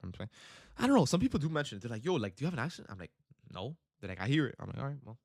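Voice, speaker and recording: Young male streamer, Miami accent, Italian-born, medium pitch, rambling filler-heavy delivery, dead-dry room. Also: very animated, racing, vocal fry, darkish tone, dry recording. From Italy. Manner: rambling, filler-heavy, says bro a lot. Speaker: day trading livestream host. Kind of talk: casual livestream chat with chat readers. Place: home trading setup in Miami.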